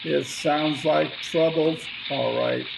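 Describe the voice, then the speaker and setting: Guy doing a mild dithering druggist, high creaky voice, old radio comedy, noisy sizzly recording. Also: fairly even intonation. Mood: worried. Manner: hesitant, understated, drawn-out delivery. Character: mild, cautious, dithering small-town druggist. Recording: home video-call recording with clear sizzling noise.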